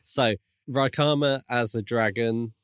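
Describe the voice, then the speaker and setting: A man co-hosting a podcast, talking casually about a book in measured, hedging phrases. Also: medium pitch, steady tone, clear, resonant tone, no room sound, clean studio sound. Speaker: podcast co-host.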